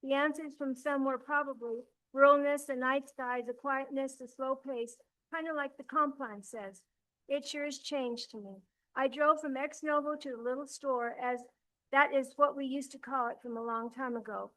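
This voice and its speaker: Older lady at the council mic, kinda high voice, earnest, keeps restarting her sentences. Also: steady tone, a bit bright, poor audio. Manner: earnest, halting, with restarts and self-corrections. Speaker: resident giving public comment. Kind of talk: public comment at a council meeting. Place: council chamber.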